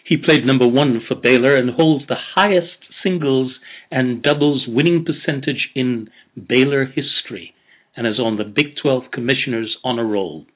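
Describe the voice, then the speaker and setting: Male interview host, measured and reflective, murmurs encouragement; recorded over a tinny phone-like line. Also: medium pitch. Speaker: podcast host. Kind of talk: interview, scripted intro then chat.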